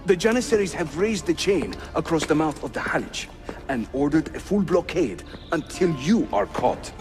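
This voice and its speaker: A man's voice: a little high, animated, moderate pace. An actor playing a jovial, loyal Ottoman assassin in a video game, playful and theatrical. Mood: teasing, jovial. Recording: clear music behind the voice.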